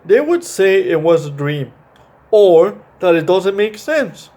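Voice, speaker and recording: A man voicing an imaginative, earnest little boy in a halting storybook read-aloud. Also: high-pitched, sing-song, mellow, full character voice. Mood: earnest, playful.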